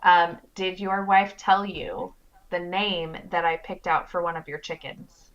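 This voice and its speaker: A young adult woman, a guest in casual chat, talking in an expressive, self-mocking way. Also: lowish voice, unhurried. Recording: dull lo-fi mic.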